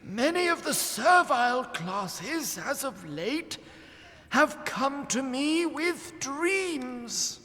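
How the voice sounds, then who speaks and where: Ominous fantasy seer, extremely high pitch, slow solemn delivery, formal, long hall reverb. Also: male voice, lively intonation, pushed and bright, heavily acted. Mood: solemn foreboding. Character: ominous, solemn court seer reporting dark portents. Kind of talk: fantasy audio drama acting.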